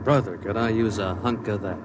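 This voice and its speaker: An actor playing a folksy, excitable armored truck guard who talks in slangy exclamations, in an old-time radio drama. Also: male voice, on the low side, brisk, close to an everyday voice. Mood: chatty, curious. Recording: noisy old radio, vehicle sounds. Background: truck and road sound effects.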